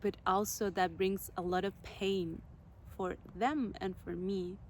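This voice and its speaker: A woman from Chile hosting a podcast, telling her personal story slowly and reflectively, pausing to search for words. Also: medium pitch, fairly even intonation, slight background noise. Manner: slow, reflective, searching for words with soft pauses. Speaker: podcast host.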